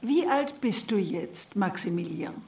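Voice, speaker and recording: A woman playing an aunt with a deliberate storytelling manner in a radio drama. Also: medium pitch, expressive, vocal fry, roomy sound, distant mic, background noise. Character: aunt reading a fairy tale to her little nephew.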